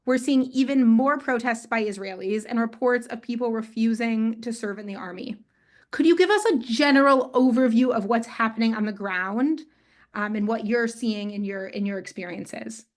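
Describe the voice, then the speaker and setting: A woman hosting a webinar on a video call, reading a measured, prepared introduction. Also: a little high. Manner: measured, reads from prepared text. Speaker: webinar host.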